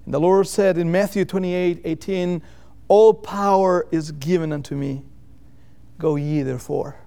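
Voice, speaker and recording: High-voiced Catalan-accented man preaching. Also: steady tone, vocal fry, mellow, clean tone. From Catalonia, Spain. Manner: earnest, rhetorical repetition with deliberate emphatic phrases. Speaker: missionary preacher. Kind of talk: sermon.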